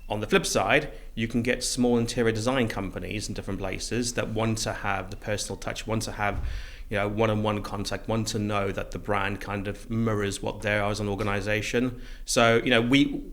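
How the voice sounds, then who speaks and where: A man's voice: fairly low pitch, steady tone, a little fast, few pauses. A middle-aged man from South Africa speaking conversationally as the guest in a podcast interview. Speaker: interview guest, recruitment business co-founder.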